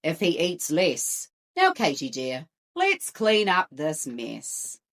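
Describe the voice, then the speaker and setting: A woman voicing a sensible, gently scolding father in a picture-book read-aloud. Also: deep voice, wildly swooping pitch, takes it slowly, pitched below the performer's own voice, heavily acted. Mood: kindly firm.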